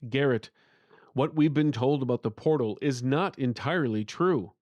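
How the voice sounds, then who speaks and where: A man's voice: mid-range voice, normal speed, darkish tone, no echo, lo-fi sound, lightly acted. Man voicing an earnest, pleading trapped spirit uncle. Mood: grave, pleading.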